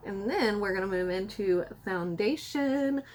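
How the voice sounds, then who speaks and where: Hoarse woman chatting through makeup. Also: mid-range voice, lively intonation, measured pace, darkish tone. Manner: chatty, rambling, self-correcting. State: hoarse, losing her voice. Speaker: beauty vlogger. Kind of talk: casual makeup tutorial. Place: room at home by a window.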